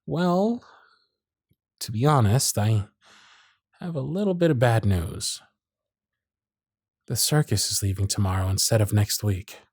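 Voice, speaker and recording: A man acts out a lovestruck circus performer in an intimate audio roleplay. The voice is husky and breathy, recorded close and dry. The delivery is hesitant and earnest, nervously feeling its way through each thought. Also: fairly low pitch, animated, measured pace, pauses often, darkish tone, dry recording. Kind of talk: intimate ASMR roleplay acting.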